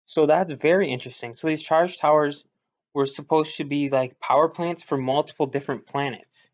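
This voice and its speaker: A man's voice: on the high side, steady tone, normal speed. A man giving informal, slightly hesitant explanatory commentary on video game voice lines, thinking aloud as he goes. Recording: dull lo-fi recording.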